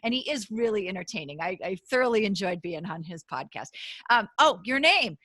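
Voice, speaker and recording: A woman's voice: medium pitch, lively intonation, fairly quick, a bit bright, no echo. A woman hosting a podcast interview, chatty and bubbly, laughing often and breaking off mid-sentence. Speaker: podcast host.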